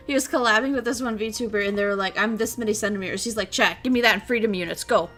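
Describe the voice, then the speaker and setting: A young woman chatting on her stream, telling stories with lots of animation and frequent laughter. Her voice sits at an ordinary pitch, and faint music plays underneath. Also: fast talker. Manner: animated storytelling, laughs often, reads chat. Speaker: VTuber streamer. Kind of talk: casual chat stream recap.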